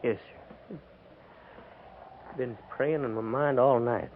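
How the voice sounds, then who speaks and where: An American actor playing an anxious, guilt-ridden cavalry private in a 1950s radio drama, halting, timid and deferential. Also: male voice, medium pitch, fairly even intonation, lightly acted. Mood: nervous, guilty. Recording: tinny, band-limited, noisy 1950s radio recording.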